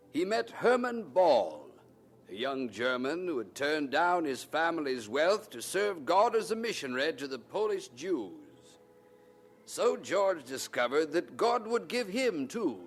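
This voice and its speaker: Expressive male radio drama narrator. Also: fairly high pitch.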